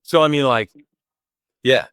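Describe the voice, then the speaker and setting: A man's voice: mid-range voice, a little slow, fairly bright tone, clear, resonant tone, dry recording, studio quality. A man from Iceland with an Icelandic accent, answering interview questions in a casual, rambling way and often voicing quoted remarks. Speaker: interview guest, chiropractic clinic owner.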